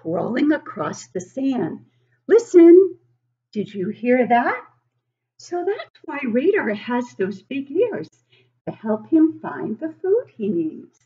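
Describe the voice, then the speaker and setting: Woman, 60s, teacher reading to little kids. Unhurried, exaggerated, swooping delivery, slightly muffled home webcam recording. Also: fairly high pitch. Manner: expressive, exaggerated, asks listeners questions. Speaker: teacher reading a picture book to children. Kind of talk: animated read-aloud for young children. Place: living room at home, indoors.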